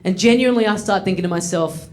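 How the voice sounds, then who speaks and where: A man's voice: high-pitched, fast. A man in his thirties with an Australian accent, telling a self-mocking stand-up story to a laughing live audience. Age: 30s. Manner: wry, self-mocking, acts out voices. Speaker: stand-up comedian. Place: comedy studio with live audience.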